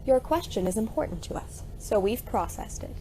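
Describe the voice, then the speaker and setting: Monotone corporate robot, mellow. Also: female voice, a little high, moderate pace, soft background music, low-quality recording. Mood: flatly polite. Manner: stilted, procedural, scripted announcements. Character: robotic corporate orientation system, flatly polite and bureaucratic. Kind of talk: audio drama acting.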